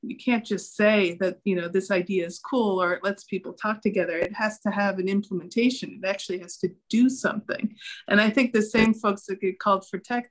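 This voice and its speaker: A woman's voice: medium pitch, poor audio. Thoughtful middle-aged woman on a panel. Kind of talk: informal panel discussion. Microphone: video call.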